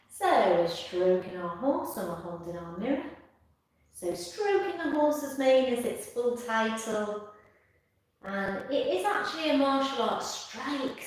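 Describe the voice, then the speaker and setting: A woman instructor leads a live tai chi class, giving calm, measured step-by-step cues and repeating her phrases. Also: mid-range voice. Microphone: far from the speaker. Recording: distant mic in echoey room, faint noise.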